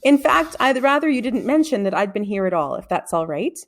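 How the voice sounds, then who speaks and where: A woman reading a storybook, voicing a courteous, persistent female schoolteacher who gently probes. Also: fairly high pitch, big pitch swings, fairly quick. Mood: polite.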